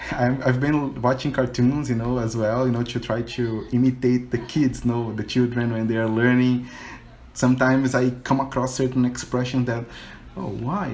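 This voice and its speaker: A man's voice: mid-range voice, slight background noise. Brazilian man chatting on a video call. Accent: Brazilian Portuguese. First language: Portuguese. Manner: warm, chatty, often laughs, fills with "you know". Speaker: English teacher and learner. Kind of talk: casual conversation practice call.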